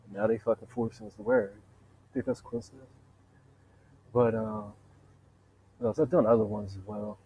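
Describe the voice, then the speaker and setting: Man, deep voice, low pitch, husky, profane rambling, boastful, muffled lo-fi sound. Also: moderate pace, long pauses. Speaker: vlogger. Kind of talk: rambling solo monologue.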